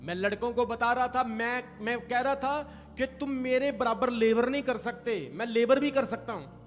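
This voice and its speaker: A man's voice: very high voice, normal speed. A man preaching a sermon to a congregation, stressing and stretching key phrases and calling for responses. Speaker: pastor. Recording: reverberant hall with faint music. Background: faint music.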